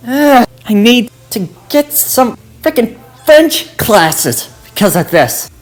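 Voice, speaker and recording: An angry teenage schoolgirl, as a woman narrator plays her, snaps back with indignant challenges. Her voice climbs high and comes out loud, with faint music underneath. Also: big pitch swings, slow pace. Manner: snappish and indignant.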